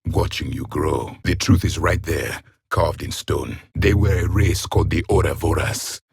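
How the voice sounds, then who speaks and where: A man's voice: deep voice, unhurried, darkish tone, breathy edge, no echo, clean studio sound, heavily acted. A man playing a stern, vengeful ancient alien warrior for a video game, speaking in blunt, formal phrases. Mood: grim, disdainful. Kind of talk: video game character voice lines.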